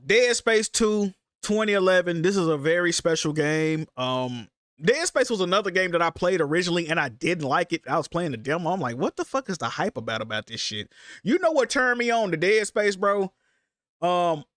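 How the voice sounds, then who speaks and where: A congested man with a fairly high voice talks in loose, slangy banter and swears a lot as he hosts a livestream. He sits close to the mic, and the sound is dry and close. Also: fairly bright tone, no room sound. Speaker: streamer host. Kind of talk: casual livestream chat.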